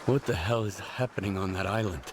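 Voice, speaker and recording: An actor playing a hardened, distrustful mercenary in a video game, terse and blunt. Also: male voice, low voice, a little fast, background noise. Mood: terse, focused. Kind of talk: video game cutscene acting.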